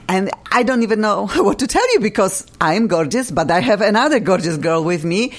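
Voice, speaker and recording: A woman from Poland with a Polish accent, hosting a radio talk show in a bubbly, effusive way and laughing often. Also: low voice.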